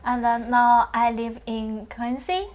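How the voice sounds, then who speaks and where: A woman from China, a guest answering interview questions carefully and haltingly. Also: fairly high pitch, steady tone, highs cut off. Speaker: interview guest, adult English student.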